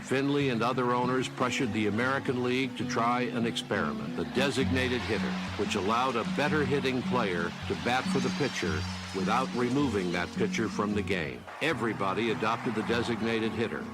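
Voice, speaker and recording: A man giving scripted documentary narration in a measured, even storytelling delivery. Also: medium pitch, poor audio. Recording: narration over loud music from an archive film.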